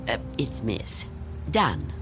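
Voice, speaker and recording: An actress playing an anxious, reclusive old spinster in a radio drama, hesitant and fretful. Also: female voice, a bass voice, huge pitch swings, slow, heavily acted. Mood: guarded. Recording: radio drama with music underneath.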